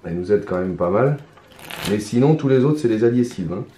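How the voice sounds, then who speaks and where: A hoarse man talking his way through a solo card game in hesitant, mumbled commentary. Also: fairly low pitch, expressive, brisk, dark tone, slight background noise. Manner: hesitant, mumbling, many restarts, muttering to himself. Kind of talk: casual solo gameplay commentary. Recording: roomy echo, faint ambience.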